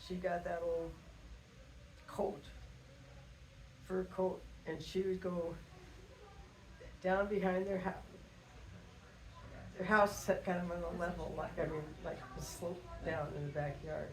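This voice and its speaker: An older woman, a grandmother, casually telling family stories in a rambling, folksy way with halting phrasing. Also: fairly low pitch, fairly even intonation. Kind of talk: casual storytelling reminiscence. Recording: roomy echo with faint background noise.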